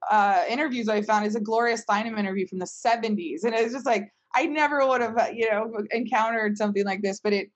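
A woman's voice: a little high, brisk, few pauses, highs cut off. A woman speaking as a company founder in a casual panel conversation, talkative and emphatic, often checking her points with "right?".

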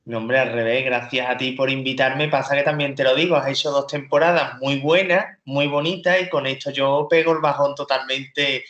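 A man's voice: medium pitch, normal speed, few pauses. A man, a comedian telling jokey, self-deprecating stories as a guest in a casual interview. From Spain. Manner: joking, self-deprecating, animated storyteller. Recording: roomy, dull lo-fi sound.

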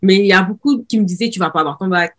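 A young woman hosts a podcast conversation in relaxed, casual talk. She speaks in an animated, emphatic way, circling back and repeating words for effect. Her voice sits at a middle pitch. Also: very fast. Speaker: podcast host. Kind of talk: casual chat.

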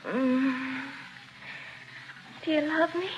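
An actress in an old radio drama playing a coy, clinging young sweetheart who coos drawn-out endearments. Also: female voice, high voice, steady tone, dull audio. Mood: coy, adoring. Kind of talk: 1940s radio drama acting. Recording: noisy old radio broadcast recording.

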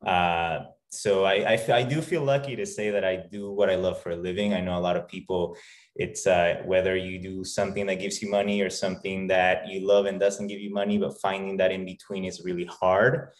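A man from Peru speaks in a notably low voice, telling his story as a podcast guest. He talks loosely and haltingly, full of "uh" and "you know" and restarted phrases. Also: level tone. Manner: halting, many fillers and restarts. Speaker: guest, fitness trainer. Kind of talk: casual interview talk.

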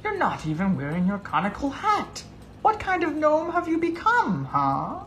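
Man voicing a shy old gnome, high, swooping voice. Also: wildly swooping pitch, normal speed, slight background noise, heavily acted. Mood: concerned, lamenting. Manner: hesitant, polite, gently pleading. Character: shy, kindly, aged senior male gnome.

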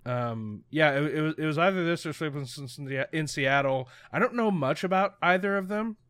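A man's voice: on the high side, normal speed, fairly bright tone, clean tone, no room sound. A man co-hosting a podcast, chatting casually in a rambling, hesitant way, often poking fun at himself. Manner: rambling, hesitant, self-deprecating. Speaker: podcast co-host. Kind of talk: casual chat.

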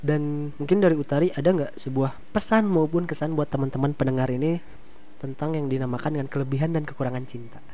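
A young man hosting a casual podcast, talking with a stuffy, slightly hoarse nose and a playful, teasing manner. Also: a little high, fast, mellow. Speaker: podcast host. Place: coffee shop.

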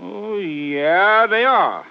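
High-voiced, befuddled ranch hand. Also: male voice, animated, extremely slow, gravelly, exaggerated voice. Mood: protesting. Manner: folksy, rambling, hesitant. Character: hard-of-hearing rural Texas ranch hand and drinker, befuddled. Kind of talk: 1950s radio drama acting. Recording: muffled old radio recording.

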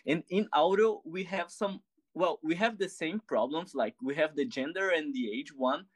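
A man's voice: fairly high pitch, moderate pace, no room sound. A man with a Brazilian accent hosting an interview, asking long questions while searching for words. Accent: Brazilian Portuguese. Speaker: interviewer, host.

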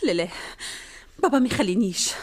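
A woman's voice: medium pitch, expressive, fast talker. A lovesick, desperate young noblewoman. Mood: fearful. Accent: Tunisian. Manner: breathy whispered confessions. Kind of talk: radio drama acting.

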